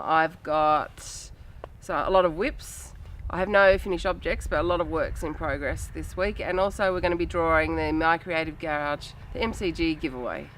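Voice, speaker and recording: Nasal woman, chatty. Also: lowish voice. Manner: chatty, rambling, frequent ums. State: nasal, recovering from bronchitis. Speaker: podcast host. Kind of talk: casual show-and-tell chat. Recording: steady background noise.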